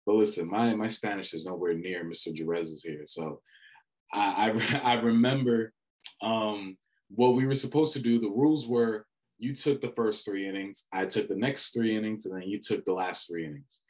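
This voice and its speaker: A young man with a fairly low voice recounts a memorable broadcast in relaxed chat. He talks in an animated way and stumbles over restarts as the memories come back. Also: normal speed, low-quality recording. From Dominican family background. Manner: animated storyteller with many restarts and fillers. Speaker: student radio sports broadcaster. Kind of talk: casual reflective chat.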